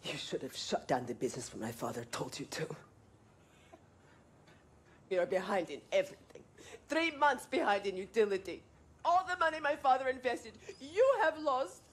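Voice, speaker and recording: An actress playing a gentle, protective farm wife and mother, speaking in careful, formal phrases in a dramatic video game. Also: on the high side, very animated, moderate pace. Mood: bitter, defiant.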